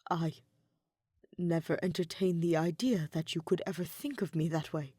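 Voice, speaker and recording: Woman as dour male spymaster, low voice, guarded, dryly formal, restrained, storybook romance, dry close sound. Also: moderate pace, mellow, slightly rough, no echo. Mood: subdued, vulnerable.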